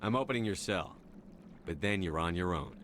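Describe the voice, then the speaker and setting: A resolute military commander in a video game. His voice is fairly low, firm and direct, with faint noise behind it. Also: lively intonation, normal speed, a bit bright. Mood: guarded.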